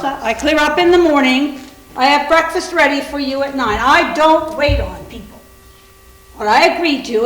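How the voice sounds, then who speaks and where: A woman playing a sullen, rigid housekeeper who recites curtly and unwelcomingly in a staged readers theater drama. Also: sits high. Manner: flat recitation, curt, unwelcoming. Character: Mrs. Dudley, sullen, rigid female housekeeper. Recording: echoey room recording.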